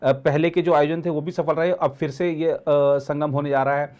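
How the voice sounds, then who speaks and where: A man speaking as an editor and commentator in a political discussion, halting and often repeating words and phrases. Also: a little high, level tone, a little mellow, lo-fi sound.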